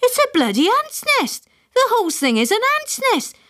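A woman's voice: very high-pitched, huge pitch swings, moderate pace, a bit bright, voice pushed up, exaggerated voice. A woman voicing a panicky middle-aged father, frantic and shrieking in alarm.

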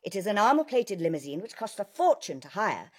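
A woman playing a brisk, haughty character, exasperated and curt with her former husband. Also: medium pitch, very animated, breathy edge.

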